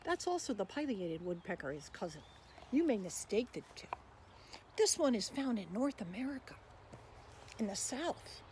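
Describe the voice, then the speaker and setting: A woman presenting a children's picture book in an expressive read-aloud style, speaking directly to young listeners. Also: mid-range voice, moderate pace. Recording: clear background noise, animal sounds.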